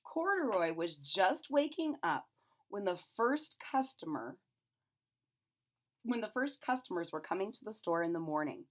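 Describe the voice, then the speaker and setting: Female children's storyteller: expressive, animated intonation, unhurried pace, simple explanatory asides for young listeners. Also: mid-range voice.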